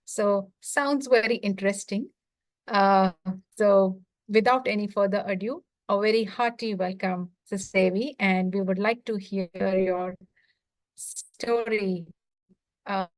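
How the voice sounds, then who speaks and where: A woman hosting a talk, introducing a guest speaker in formal, measured, courteous phrasing. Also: mid-range voice, fairly even intonation, no echo, rough recording.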